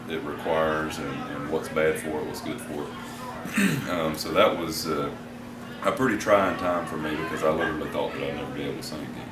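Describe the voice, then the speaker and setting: A man, a country singer, giving measured, hesitant interview answers backstage at a concert venue with frequent fillers. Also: very deep voice, fairly even intonation. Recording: echoey, distant mic, loud background noise.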